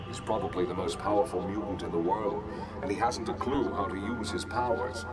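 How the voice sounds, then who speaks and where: A man playing a self-assured older professor and mentor in a motion comic, speaking in a measured, articulate, lecturing way. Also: on the low side, close to an everyday voice.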